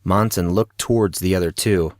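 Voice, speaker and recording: Low-pitched man narrating fiction. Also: moderate pace, darkish tone, no room sound, studio quality. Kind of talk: scripted fiction narration for an audio drama.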